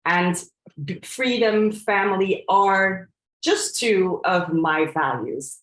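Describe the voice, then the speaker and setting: A woman in her forties from Belgium, a coach giving a presentation in an earnest, reflective way, often pausing on fillers and restarting her sentences. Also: low-pitched, roomy sound, rough recording. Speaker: coach and trainer. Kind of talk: presentation to an audience.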